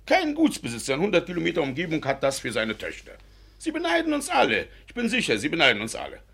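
A man's voice: on the high side, huge pitch swings, fast talker, gravelly, a bit bright, breathy edge, exaggerated voice. An actor in a German radio play, playing a pompous, doting middle-aged Russian landowner and father who is effusive and easily indignant. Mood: pompous, complaining.

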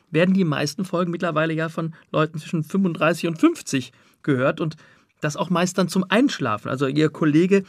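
A man's voice: fairly high pitch, no echo, studio quality. A man hosting an interview, asking prepared questions and introducing music. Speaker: interviewer, radio host.

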